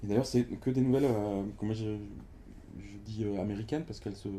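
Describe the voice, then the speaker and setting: A man's voice: on the low side, fairly even intonation, brisk, noisy background. A man hosting a book launch at a bookshop, interviewing the author and searching for his words with many hesitations. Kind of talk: interviewing a guest at a live event. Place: bookshop event before an audience.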